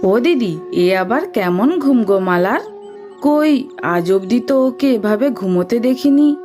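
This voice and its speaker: A woman voicing an anxious, excitable village neighbour in a melodramatic horror cartoon. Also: a little high, slow, music in the background. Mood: puzzled concern.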